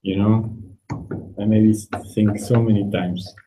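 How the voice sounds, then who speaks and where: A man helping run a meetup cuts in with short, reassuring comments. His voice is low and mellow, with a soft, dark tone. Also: little pitch movement, a little slow, rough recording. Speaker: meetup organizer. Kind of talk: brief backchannel remarks.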